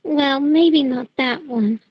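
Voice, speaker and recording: Sweet, earnest schoolgirl witch played by a woman, high voice, principled and caring, dry slightly lo-fi audio drama sound. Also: slow, dry recording. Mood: sweet, affectionate. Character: kind, sweet, principled teenage witch girl. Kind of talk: fan audio drama acting.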